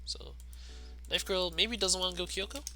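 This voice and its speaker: Quiet, low-voiced young man commentating. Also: steady tone, moderate pace. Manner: laid-back, chuckles often between remarks. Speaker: tournament commentator. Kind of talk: casual live game commentary. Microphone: desk mic turned to max. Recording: live stream with game music behind. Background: game music playing.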